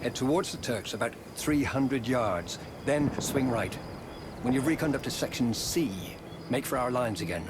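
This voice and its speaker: An actor playing a condescending First World War officer in a game, speaking formally in clipped orders. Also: male voice, medium pitch, lively intonation, normal speed, natural voice. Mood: dismissive. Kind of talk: dramatic game acting. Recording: clearly noisy background.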